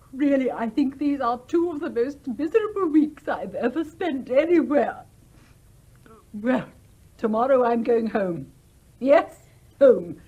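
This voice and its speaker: An actress in a radio play playing a bossy, self-pitying sister-in-law, patronising, fretful and easily affronted. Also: female voice, high voice, a little mellow, breathy edge, muffled recording, full character voice. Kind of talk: theatrical radio play acting.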